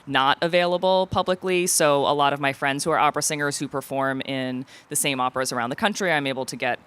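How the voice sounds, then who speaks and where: A woman opera singer with a low contralto voice, explaining things casually as an interview guest. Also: fairly even intonation, fast talker, a bit bright, clear, resonant tone.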